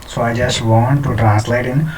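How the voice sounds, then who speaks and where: A man's voice: medium pitch, steady tone, normal speed, a little mellow, distant mic, slight background noise, rough recording. A man hosting a screen tutorial, talking hesitantly with many fillers and restarts. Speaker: tutorial host. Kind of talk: screen-recorded software tutorial.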